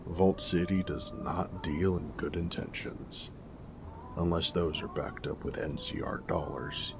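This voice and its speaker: A very deep, gravelly ghoul played by a man drawls at an unhurried pace. He is a snarky wasteland drifter and former bush pilot, wry and slangy, in a game-style audio roleplay with clear noise behind him. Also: fairly even intonation. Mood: wry, explaining. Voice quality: gravelly, low rasp. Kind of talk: character roleplay audio monologue in a post-apocalyptic game setting.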